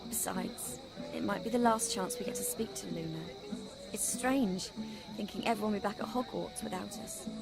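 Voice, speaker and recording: A woman's voice: mid-range voice, moderate pace, vocal fry, natural voice. A woman playing an earnest, caring, bossy teenage witch in a video game. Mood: concerned. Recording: loud game music behind.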